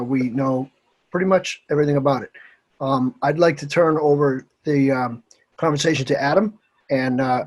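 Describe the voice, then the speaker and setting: A man in his forties introducing a webinar panel, relaxed and conversational with occasional uhs. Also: medium pitch, poor audio. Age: 40s. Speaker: panelist and committee member.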